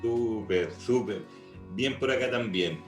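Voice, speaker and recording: A man's voice: on the low side, slow. A man with a Chilean accent hosting an interview in a semi-formal, somewhat hesitant way, often adding tag questions. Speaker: interview host. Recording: echoey room, music behind.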